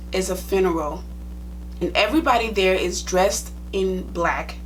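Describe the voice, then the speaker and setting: A woman's voice: mid-range voice, a bit bright, a little hiss or hum, low-quality recording. A young woman with a Liberian accent, a nursing vlogger talking to her viewers in a chatty, direct, plain-spoken way. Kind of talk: casual storytime vlog.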